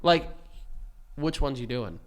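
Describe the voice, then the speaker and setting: Playful man with a lively voice. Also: mid-range voice, slow pace. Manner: playful, teasing, hyping. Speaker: podcast co-host. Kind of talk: casual crude banter.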